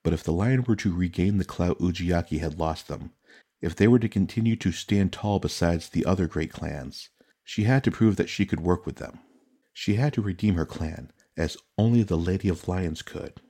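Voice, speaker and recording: Deep-voiced man narrating fantasy fiction. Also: dark tone, slightly rough. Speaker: audiobook narrator. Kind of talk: scripted fantasy story narration.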